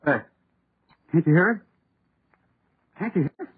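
An actor playing a panicked radio horror writer, hoarse and pleading, in 1930s radio style. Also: male voice, fairly high pitch, animated, measured pace, exaggerated voice. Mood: terrified. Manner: frantic, pleading, repeats himself. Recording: muffled, tinny old radio recording.

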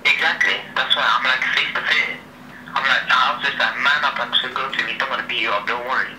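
A man's voice: mid-range voice, steady tone, quick pace, pushed and bright. A young man chatting casually on a phone call, slangy and repetitive, tagging sentences with "yeah" and "innit". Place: phone speaker held up in a room. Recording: noisy, echoey speakerphone call.

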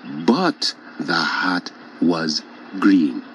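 A man's voice: low voice, lively intonation, very slow, a bit bright, a little hiss or hum, exaggerated voice. A man playing a courteous but stubborn village friend with flowery speech in a children's folk tale. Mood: politely stubborn.

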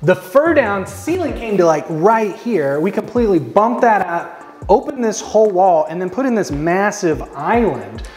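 A man's voice: sits high, big pitch swings, normal speed, darkish tone. A man in his thirties co-hosting a house-flip home tour, chatting casually with an upbeat, joking manner, on a lapel mic in an empty renovated house. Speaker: house flipper co-host. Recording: echoey empty rooms, faint music.

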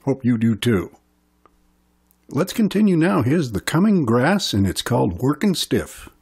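Husky-voiced man hosting a radio show. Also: mid-range voice, big pitch swings, measured pace, a little mellow. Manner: easygoing, chatty song introductions. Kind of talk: radio music show patter.

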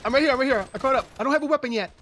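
A man bantering as a co-player in roleplay gameplay, goofy and excitable, often repeating his phrases. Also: extremely high pitch, very fast, a little hiss or hum. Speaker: co-player in a gaming video.

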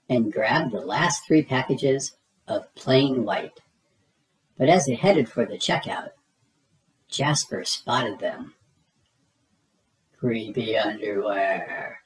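A man reading a children's story aloud as narrator, dramatically, with suspenseful drawn-out emphasis. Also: mid-range voice, poor audio.

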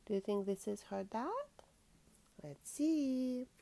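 A woman's voice: fairly high pitch, animated, takes it slowly, vocal fry, dark tone. A woman reading a children's picture book aloud expressively, as a storytime host. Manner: asks listeners questions.

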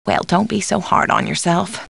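A woman playing a concerned, gently chiding older confidante in a video game. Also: medium pitch, normal speed, vocal fry, husky, dry recording, heavily acted. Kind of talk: scripted video game voice acting.

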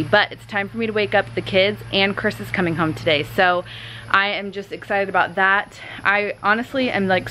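A woman's voice: on the low side, fairly bright tone, a little hiss or hum, low-quality recording. Chatty woman vlogging her cleaning day. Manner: chatty, upbeat, lots of "like" and "um". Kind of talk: cleaning vlog narration and selfie chat. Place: large house, indoors. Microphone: phone camera at arm's length.

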